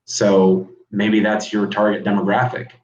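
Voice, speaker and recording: Frank male guest coach, lower voice, echoey, lo-fi video call. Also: completely flat delivery, a little slow. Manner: frank, conversational, repeats words as he talks. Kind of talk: casual interview chat.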